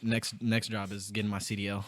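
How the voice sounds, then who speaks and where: A man's voice: lowish voice, level tone, brisk, a bit bright, dry recording. A man in his twenties chatting on a podcast, telling rambling stories about his job. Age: 20s. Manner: laid-back, rambling storyteller, restarts often. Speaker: guest. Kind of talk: casual podcast chat.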